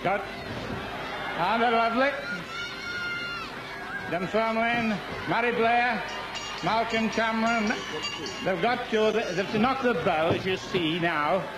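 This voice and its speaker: A man's voice: sits high, takes it slowly, long pauses. A man with a Yorkshire accent giving jokey, cheeky live commentary on an outdoor game show. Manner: bantering, cheeky, chuckling. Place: outdoor park event. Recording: outdoor event, crowd and background noise.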